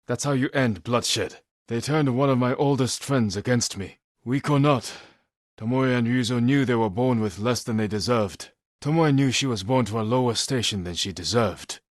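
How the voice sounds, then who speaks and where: An actor playing a grave, resolute samurai warrior in a video game, speaking in measured, solemn, terse lines with a husky voice. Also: male voice, medium pitch, no echo, clean studio sound, natural voice. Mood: urgent, stern. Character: stoic, honour-bound samurai warrior, adult man, grave and resolute. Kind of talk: dramatic scripted game dialogue.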